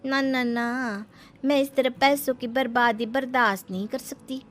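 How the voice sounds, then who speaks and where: Cartoon village wife played by a female narrator: stubborn, thrifty, high raised pitch, protesting emphatically. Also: steady tone, a little slow. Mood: indignant. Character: stubborn, thrifty young village daughter-in-law.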